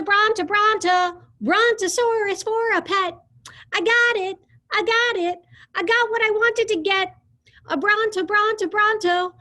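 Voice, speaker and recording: A woman voicing a bossy, screechy little girl in a storybook read-aloud, with a squeaky, snippy voice. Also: bright, forceful tone, full character voice. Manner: shrill, demanding, sing-song.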